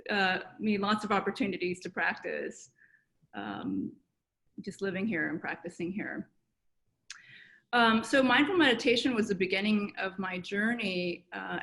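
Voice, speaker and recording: A woman psychotherapist from South Korea, answering interview questions thoughtfully over a video call, with many pauses and fillers. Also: mid-range voice, fairly bright tone. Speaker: guest psychotherapist. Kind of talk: reflective personal interview answers.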